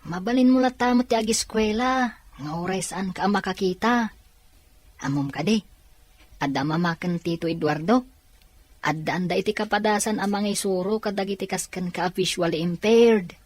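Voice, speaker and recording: A woman's voice: mid-range voice, moderate pace, dry recording, lightly acted. A woman playing a friendly, encouraging young relative in a radio drama. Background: background music.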